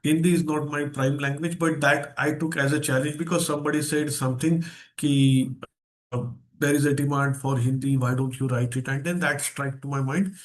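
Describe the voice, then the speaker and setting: A man's voice: fairly high pitch, fairly even intonation, moderate pace, poor audio. A man with an Indian accent speaking as a guest trainer in an online seminar, stressing key phrases and putting questions to his listeners. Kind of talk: interactive online seminar talk. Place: online video session.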